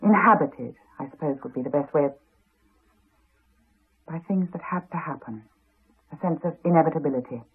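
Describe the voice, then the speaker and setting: A muffled old radio drama recording of an earnest English woman. She speaks in a refined, insistent way, with a breathy husk to the voice. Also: sits low, normal speed, long pauses. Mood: quietly intense. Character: earnest, insistent woman drawn to a haunted house. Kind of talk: 1980s radio drama acting. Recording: muffled, band-limited 1980s radio recording.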